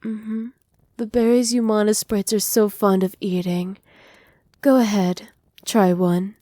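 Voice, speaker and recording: A woman playing a doting, possessive witch in an ASMR roleplay, speaking softly with teasing, condescending affection. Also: medium pitch, fairly even intonation, slow, no room sound, clean studio sound, lightly acted. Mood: soft, coaxing. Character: doting, possessive witch mistress pampering her captive pet. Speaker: voice actor.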